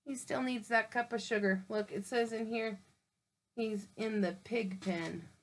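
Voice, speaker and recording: A woman's voice: on the high side, fairly even intonation, unhurried. Woman explaining a story to kids. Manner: gentle explaining, with fillers. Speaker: teacher reading aloud to children. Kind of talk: casual read-aloud commentary.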